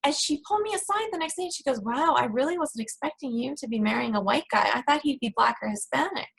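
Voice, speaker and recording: A woman's voice: sits high, animated, quick pace, vocal fry, a bit bright, breathy edge, rough recording. A woman who grew up in the Caribbean, an interviewer chatting and telling a personal story. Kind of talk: conversational interview.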